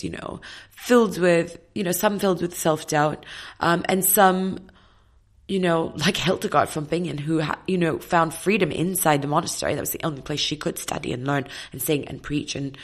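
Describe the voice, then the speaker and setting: A woman in her thirties with a low, slightly husky voice. She speaks as an opera singer being interviewed. Her storytelling is reflective and animated, full of fillers and restarts. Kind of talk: casual interview chat.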